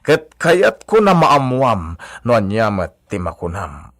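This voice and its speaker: An actor playing a proud, scheming brother from a hacienda family in an Ilocano radio drama, with emphatic theatrical delivery. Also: male voice, fairly low pitch, huge pitch swings, slow, fairly bright tone, no room sound, clean studio sound, full character voice. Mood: defiant, scheming.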